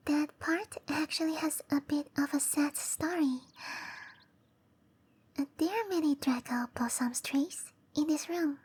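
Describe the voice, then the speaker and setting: A woman voicing a shy, gentle young cherry blossom dryad in a breathy, hesitant whisper for roleplay ASMR. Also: high-pitched. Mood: gentle, wistful. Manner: hesitant, bashful, softly spoken. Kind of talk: roleplay ASMR, intimate and slow.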